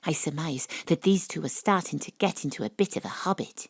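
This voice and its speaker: Tipsy wry investigator Astrid, dry close sound. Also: female voice, low-pitched, brisk, breathy edge, dry recording. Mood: wry storytelling. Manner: wry, bawdy, theatrical. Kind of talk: audio drama, first-person narration and dialogue.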